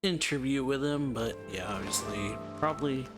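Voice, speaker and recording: A woman's voice: extremely low pitch, moderate pace, music in the background. A woman giving casual, laid-back let's play commentary as a gaming video host, in short murmured reactions.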